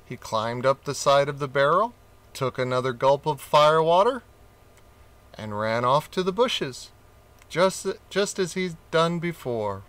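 Male storybook reader: slow, bright, expressive. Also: fairly high pitch. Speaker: audiobook reader. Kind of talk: storybook read-aloud.

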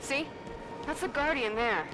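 An actress playing a brisk female soldier giving matter-of-fact tactical calls. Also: sits high, animated, over music, poor audio.

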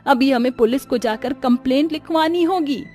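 A woman's voice: sits high, normal speed, a little mellow, faint music behind, pitched above the performer's own voice, close to an everyday voice. A woman voicing an older, practical village mother-in-law in a cartoon story, chiding and exclamatory. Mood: scolding. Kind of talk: Hindi cartoon story acting.

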